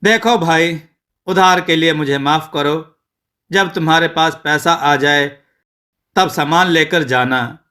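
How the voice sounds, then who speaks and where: A man's voice: a little high, takes it slowly, sharp tone. A man voicing a stubborn village grocer in a storybook tale, firm and matter-of-fact. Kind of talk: storybook character dialogue.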